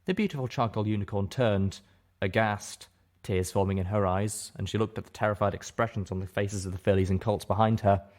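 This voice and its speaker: A man narrating a dramatic first-person story in an expressive, theatrical audiobook manner. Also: fairly low pitch, fairly quick.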